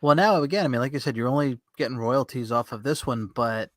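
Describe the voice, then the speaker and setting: A man's voice: mid-range voice, fast talker, fairly bright tone, dry recording. A man with a mid-range voice hosts a casual interview. He speaks informally and conversationally, like someone chatting with a friend. The recording is dry, without audible room reflections. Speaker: podcast host, interviewer. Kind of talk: casual chat.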